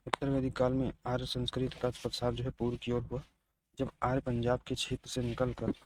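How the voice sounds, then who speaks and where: A man giving an exam-preparation lecture as a teacher, haltingly repeating and restating his key phrases. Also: medium pitch, darkish tone, a little hiss or hum, poor audio. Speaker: teacher of exam history lessons.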